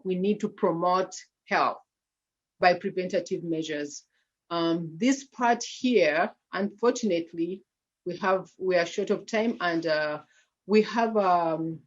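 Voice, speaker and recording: A woman's voice: on the low side, lo-fi sound. A woman with a Ugandan accent presenting slides on a video call, hesitant, with many fillers and restarts. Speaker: nonprofit founder presenting slides.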